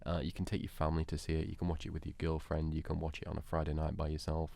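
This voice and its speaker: A man's voice: very deep voice, monotone, racing, gravelly, dark tone, dry recording. A man co-hosting a podcast, debating films informally and laughing often as he talks. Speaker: podcast co-host. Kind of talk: casual film debate chat.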